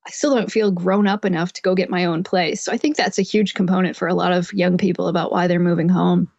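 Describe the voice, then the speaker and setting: A woman giving explanatory advice as an interview guest, conversational and measured, on a slightly dull recording. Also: lowish voice, steady tone, a little mellow, no room sound. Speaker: podcast guest, psychotherapist and author.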